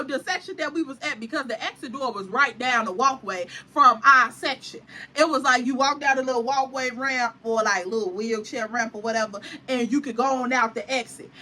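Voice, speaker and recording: A woman vlogger telling a wild story with animated, profane, dramatic flair. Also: high-pitched. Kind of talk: storytime vlog.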